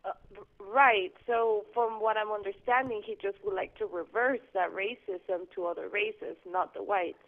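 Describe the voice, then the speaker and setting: A woman's voice: mid-range voice, steady tone. A woman caller from Guatemala, heard through a narrow, tinny telephone line. She talks earnestly, feeling her way through her point with frequent restarts and hesitations. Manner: earnest, halting, restarts often. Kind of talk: call-in radio chat. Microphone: home landline phone. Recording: phone line.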